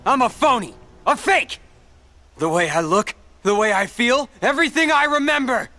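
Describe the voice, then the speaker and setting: An actor playing a bitter, anguished replica of a teenage boy in a video game, with melodramatic delivery and dramatic pauses. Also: very high-pitched, expressive, pushed and bright, no echo, exaggerated voice.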